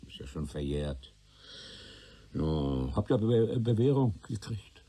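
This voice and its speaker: Irritable old Berliner, low husky voice, swooping intonation, halting speech, forgetful, radio drama. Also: male voice, big pitch swings, dark tone, full character voice. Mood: evasive, halting. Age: older. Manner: halting, muddled, gropes for words, colloquial. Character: nervous, irritable old male witness, forgetful and hot-tempered. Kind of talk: German radio play acting.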